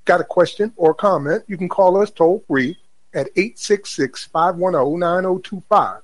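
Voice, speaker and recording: Guy radio host reading his show intro, pretty high voice, formal and measured, dry close sound. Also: dry recording.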